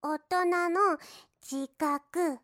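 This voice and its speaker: A woman's voice: high voice, extremely slow, a bit bright, full character voice. Woman playing a teasing baby-talk maid. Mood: scolding. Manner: sing-song baby talk with lisped endings. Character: young female maid, teasing and mocking her master. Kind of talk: roleplay audio drama.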